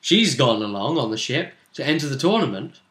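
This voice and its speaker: Casting: male tabletop game master, highly varied intonation, casual delivery, echoey room. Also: very animated, brisk, a bit bright. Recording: roomy, echoing room sound.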